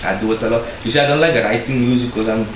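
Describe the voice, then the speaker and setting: A man's voice: medium pitch, rapid-fire. A young man from South Africa with a Cape Town accent, a rapper talking casually as a podcast guest, slangy and laughing often. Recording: echoey room with noise.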